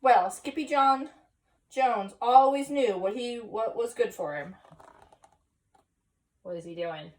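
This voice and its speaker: A woman reading a children's story aloud expressively, pausing for explanatory asides and questions to young listeners. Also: a little high, darkish tone, far from the mic. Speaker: story reader.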